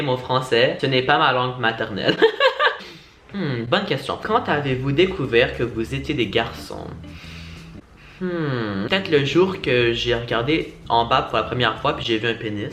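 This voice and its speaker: A man's voice: mid-range voice, bright, forceful tone, roomy sound. A young man with a Québécois French accent, chatting casually and joking about himself in a vlog. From Quebec, Canada. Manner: chatty, self-mocking, laughs often. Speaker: YouTuber.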